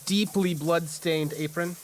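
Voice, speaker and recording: Higher-voiced male game master. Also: fairly even intonation, measured pace. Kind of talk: improvised tabletop game narration.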